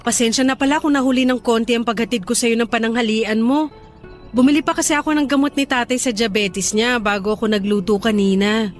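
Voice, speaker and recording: Woman playing a sweet caring girlfriend in a radio drama, gentle, highish voice. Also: steady tone, a little fast, faint music behind, natural voice. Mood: caring, everyday. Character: caring young girlfriend who delivers home-cooked meals. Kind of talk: Tagalog radio drama dialogue.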